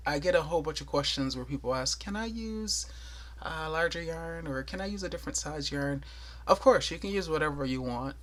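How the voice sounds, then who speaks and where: Tutorial host, male, soothing voice, mid pitch, patient and chatty, counts stitches aloud. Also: fairly bright tone. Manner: thorough, chatty, with light laughs and counting aloud. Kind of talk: casual step-by-step tutorial.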